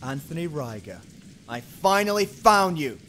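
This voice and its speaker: A man's voice: fairly high pitch, sing-song, a little slow, sharp tone, slight background noise, full character voice. A man playing a grim, determined police detective in an amateur radio play, earnest and hard-boiled in manner. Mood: angry confrontation.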